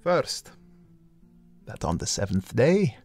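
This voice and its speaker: Man acting wry reflective male memoirist, slow measured delivery, medium pitch, soft guitar underneath, drama voice-over. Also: sing-song. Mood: wry reflection. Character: reflective male character narrating family memories. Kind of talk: scripted voice-over.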